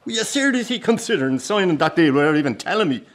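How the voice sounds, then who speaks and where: A man's voice: a little high, very animated, quick pace, fairly bright tone. Actor as a stubborn older Irish circus father. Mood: reproachful, angry. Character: stubborn, wounded old father of a traditional family circus. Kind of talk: naturalistic radio drama acting.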